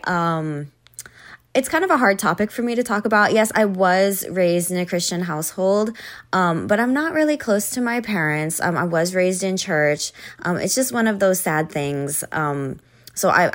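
A young woman from Hawaii, a singer-songwriter, chatting as an interview guest in a soft, hesitant way with many pauses. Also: fairly low pitch. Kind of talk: casual interview chat.

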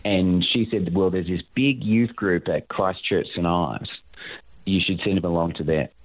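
A low-voiced Australian man chats as an interview guest, telling stories in a matey, self-deprecating way. The sound is dry and narrow, like a dull lo-fi microphone. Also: no echo. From Sydney, Australia. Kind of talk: casual anecdotal chat.

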